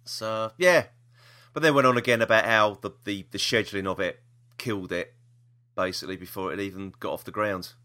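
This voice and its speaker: Bright-voiced, rambling British man. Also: lowish voice, fairly quick. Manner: hesitant, rambling, repeats himself. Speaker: podcast host. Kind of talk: casual chat.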